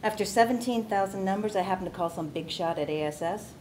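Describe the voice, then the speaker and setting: A woman's voice: medium pitch, quick pace, a little mellow, slight background noise, close to an everyday voice, low-quality recording. A woman playing a tough, streetwise astronaut, blunt and matter-of-fact, at a comedy table read.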